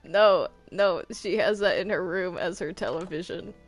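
A woman's voice: on the low side, animated, moderate pace, faint music behind. A woman chatting casually in a roleplay game, hesitant and often repeating her words. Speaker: player in a Minecraft roleplay.

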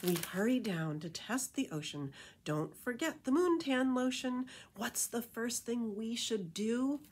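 A middle-aged woman reads a children's picture book aloud as a librarian, with rhythmic, animated storytelling and casual asides. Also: a little high, unhurried, slight background noise. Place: indoor room with painted wall.

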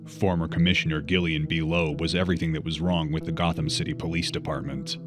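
A man playing a brooding, grim masked vigilante in a comic dub, speaking in a terse, grave, measured way. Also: rumbling low. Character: brooding grim masked vigilante detective narrating his thoughts.

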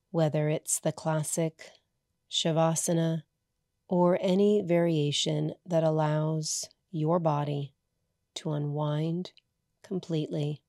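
A woman leading a guided meditation as a yoga nidra teacher, soothing and hushed, leaving long pauses between short phrases. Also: deep voice, no room sound, studio quality.

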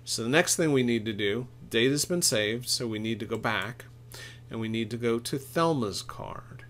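A middle-aged man presents a software walkthrough as an equipment expert. His voice sits at an ordinary medium pitch. He explains each step informally, talking himself through what he is doing. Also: normal speed, a little hiss or hum. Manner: informal, explanatory, thinks aloud while typing. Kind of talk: step-by-step software tutorial narration.

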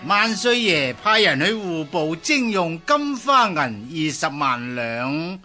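A fawning palace eunuch in a historical radio drama. The voice is bright and piercing, swooping in pitch, with slow, obsequious phrasing. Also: male voice, very animated, soft background music, exaggerated voice. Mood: deferential, reporting. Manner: obsequious, drawn-out, eager to agree. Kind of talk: Cantonese radio drama acting.

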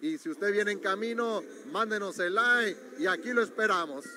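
Man, ringside wrestling commentary, very high pitch, noisy arena behind. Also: normal speed. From Mexico. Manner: promotional, urging viewers to share. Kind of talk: live event commentary. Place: university gym arena.

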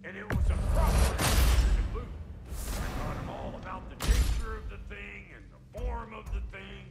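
An actor playing a foul-mouthed, boisterous dwarf blacksmith in a video game, brash and folksy, with a gravelly voice. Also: male voice, sits high, normal speed, reverberant, loud music behind the voice. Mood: cocky, defensive. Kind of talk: video game character acting.

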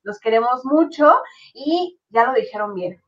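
A young woman with a Mexican Spanish accent hosts a live show from home on a video call. She speaks with enthusiasm and a slightly high voice. The audio is dull and lo-fi. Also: animated, unhurried. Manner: enthusiastic, list-like phrasing. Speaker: radio host.

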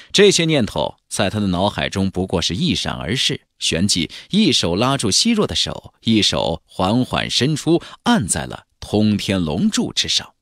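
A man narrating a fantasy audiobook in a measured, theatrical storytelling delivery. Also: lowish voice, dry recording, studio quality. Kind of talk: dramatic scripted narration of a fantasy novel.

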